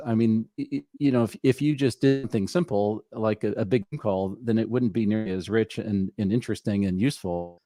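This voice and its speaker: A man interviewing in a casual conversational style, thinking aloud in halting phrases with repeated words. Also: fairly low pitch, mellow, no room sound, studio quality. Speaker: interviewer, podcast host.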